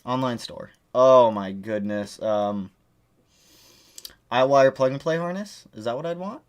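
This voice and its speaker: A man's voice: mid-range voice, measured pace, clean tone, highs cut off. A man hosting a casual livestream, chatting with viewers and rambling from one topic to the next. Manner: rambling, jumps between topics. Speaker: livestream host. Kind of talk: casual chat answering viewers.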